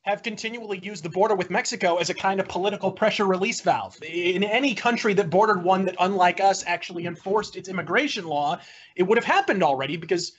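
A man's voice: high-pitched, fast talker. A man making a wordy, florid and opinionated argument as a debater in a political debate. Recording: dull lo-fi audio.

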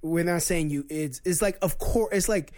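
Halting, hesitant man. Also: fairly high pitch, darkish tone, no echo, studio quality. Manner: hesitant, halting, many repeated words. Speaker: guest, men's rights activist. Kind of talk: casual panel discussion.

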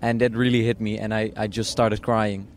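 A man's voice: lowish voice, little pitch movement, moderate pace, a little hiss or hum. A young man from the Netherlands with a Dutch accent, a guest chatting casually, hesitant with lots of fillers and restarts. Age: young adult. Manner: hesitant, many fillers and restarts, laughs often. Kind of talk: casual interview chat.

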